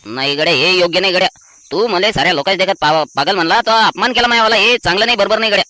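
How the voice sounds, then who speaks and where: A man's voice: high-pitched, rapid-fire, sharp tone. A man playing a touchy, quarrelsome uncle in a cartoon comedy, complaining indignantly with a rural Maharashtrian accent. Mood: offended.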